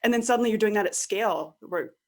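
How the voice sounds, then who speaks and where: A woman's voice: medium pitch, fast talker. Woman chatting informally as an interview guest. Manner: informal, thinking aloud, acts out imagined quotes. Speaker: podcast guest, online course creator and coach. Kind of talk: conversational interview.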